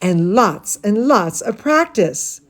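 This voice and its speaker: A woman's voice: medium pitch, big pitch swings, slow, fairly bright tone. A woman voicing an eager, proud young girl who loves ballet, earnest and emphatic, in a storybook reading. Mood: playful, encouraging.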